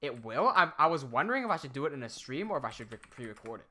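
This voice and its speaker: A man's voice: mid-range voice, big pitch swings, racing. A man with an American accent chatting casually on a live stream, reading out viewer questions and answering them as he goes. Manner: chatty, reads out viewer questions while fiddling with software.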